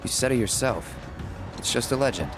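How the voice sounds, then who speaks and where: A man playing a cool young mercenary hero in a game cutscene, laconic and flat. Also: medium pitch, a little fast, over music, lightly acted. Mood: guarded.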